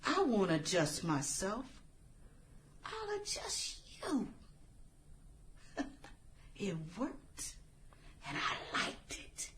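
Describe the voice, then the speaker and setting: A woman acting a bitter, vengeful former outcast turned killer in a radio drama, sarcastic and theatrical with drawn-out phrasing. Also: mid-range voice, huge pitch swings, fairly bright tone, slight background noise, exaggerated voice. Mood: menacing, smug.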